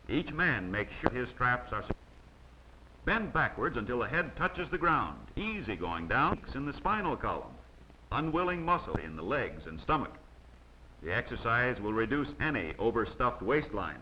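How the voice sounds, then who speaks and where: A man narrates an old instructional film in a measured, scripted delivery. His voice sits at a medium pitch. The soundtrack is dull and lo-fi, like aged 16mm film, with faint noise underneath.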